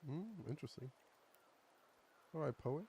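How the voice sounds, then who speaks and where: A man's voice: fairly high pitch, lively intonation, a little slow, warm tone, a little hiss or hum, low-quality recording. A man live streaming a game, chatting casually and reacting as he goes. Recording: faint game music behind the voice. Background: game music.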